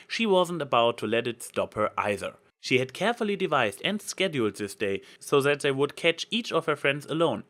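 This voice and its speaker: Male story reader, animated scripted narration, medium pitch. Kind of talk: scripted narration of fan fiction.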